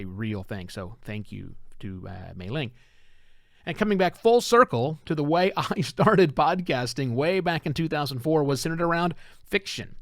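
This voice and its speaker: Middle aged guy doing a solo podcast, normal pitch, chatty but punches his words, really dry recording. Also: no room sound. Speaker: podcast host and producer. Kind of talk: solo podcast talk to live viewers.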